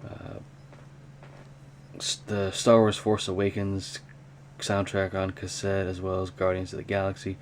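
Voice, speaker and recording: A man talking casually and unscripted in a hobby show-and-tell vlog, with a rambling, halting delivery. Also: low-pitched, completely flat delivery. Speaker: hobby vlogger, cassette collector. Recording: clear background noise.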